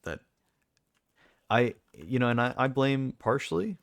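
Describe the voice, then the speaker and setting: A young man with a deep voice, talking casually and rambling with lots of fillers and restarts as a streamer. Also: sing-song, unhurried, gravelly, no echo. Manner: rambling, self-correcting, many fillers.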